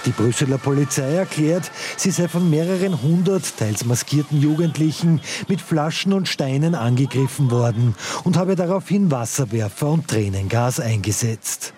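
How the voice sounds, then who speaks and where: A male correspondent delivers a news report in a sober tone. His voice is dark and mellow. A faint hum of ambience sits behind him. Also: a little high, lively intonation, moderate pace.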